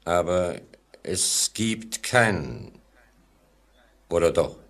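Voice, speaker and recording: An actor playing a menacing lift attendant in an old German radio play, hesitant and insinuating behind feigned politeness. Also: male voice, low voice, animated, extremely slow, gravelly, a bit bright, exaggerated voice. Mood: falsely apologetic.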